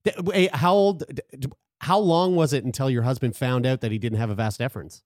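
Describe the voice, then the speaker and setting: A man's voice: mid-range voice, brisk, darkish tone, no room sound, clean studio sound. Rambling thirty-something man host. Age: 30s. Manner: rambling, restarts, swears casually. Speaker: podcast host. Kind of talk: casual chat.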